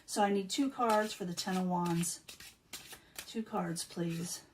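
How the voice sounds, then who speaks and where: A woman reading tarot cards in a casual, chatty way, losing her voice and clearing her throat now and then. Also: lowish voice, slow, recorded from a distance, slight background noise. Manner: chatty, repeats herself, many um fillers. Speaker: tarot reader.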